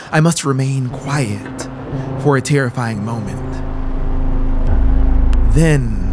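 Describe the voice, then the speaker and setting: A man in his thirties giving a deliberate, theatrical, brooding reading of a horror audiobook, close on the microphone. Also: a little high. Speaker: audiobook narrator. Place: small brick-walled studio, indoors. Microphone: close microphone on boom arm, headphones. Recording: steady background ambient noise. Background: droning synthesizer ambience.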